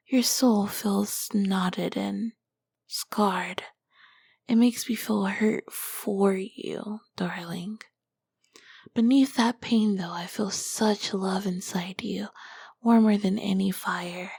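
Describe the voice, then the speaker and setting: A woman's voice: medium pitch, vocal fry, darkish tone, slightly rough, no room sound, exaggerated voice. A woman voicing an ancient, nurturing succubus queen in an ASMR roleplay, whispering breathily and soothingly with tender endearments. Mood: tender, pained. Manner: slow, soothing, doting endearments.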